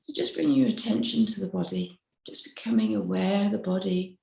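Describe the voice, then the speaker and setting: A woman mindfulness teacher leading a slow guided meditation in a gentle, unhurried, instructive way. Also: medium pitch, lively intonation, creaky. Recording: roomy, echoey sound.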